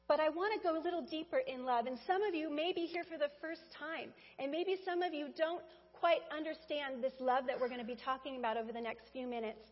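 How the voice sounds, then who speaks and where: A woman preaching a Mother's Day sermon in a church, talking conversationally and speaking straight to the congregation. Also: sits high. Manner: conversational, addresses congregation directly. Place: church sanctuary. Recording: dull sound with long hall reverb.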